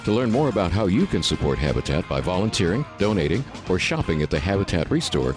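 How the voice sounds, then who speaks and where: Male announcer, lowish voice, lively intonation, music underneath. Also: fast. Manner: expressive, promotional reading. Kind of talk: read radio spot. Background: music bed.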